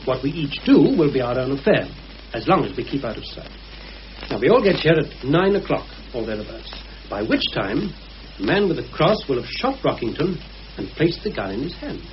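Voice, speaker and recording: An actor playing a calm, calculating British war veteran who lays out a murder plan in a measured, persuasive way, in mid-century radio drama style. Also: male voice, medium pitch, natural voice. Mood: methodical, persuasive. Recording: muffled, hissy old radio recording.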